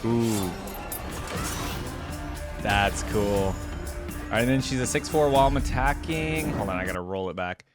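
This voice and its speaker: A man's voice: lowish voice, expressive, a little slow, pauses often, over music. A man giving casual, informal, lightly amused commentary as host of a reaction video.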